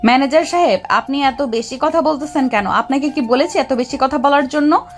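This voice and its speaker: A woman's voice: sits high, normal speed, pitched above the performer's own voice, close to an everyday voice. A woman voicing an angry, domineering young female boss in an audio story, scolding and giving orders. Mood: cold, commanding. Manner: scolding, commanding. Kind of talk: Bengali audio story character dialogue. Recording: music under the voice.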